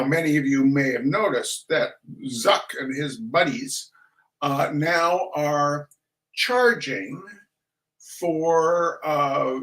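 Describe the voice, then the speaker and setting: Male livestream host, higher-pitched voice, unhurried and rambling, theatrical with mock-grand flourishes. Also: a bit bright, rough recording. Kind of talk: casual rambling livestream introduction with shout-outs.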